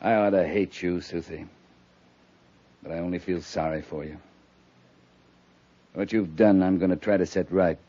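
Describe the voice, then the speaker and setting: An actor playing a stern, principled cavalry captain with measured authority and dry humor in a 1950s radio drama. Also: male voice, sits low, fairly even intonation, mellow. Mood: grave, restrained. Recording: muffled, noisy 1950s radio recording.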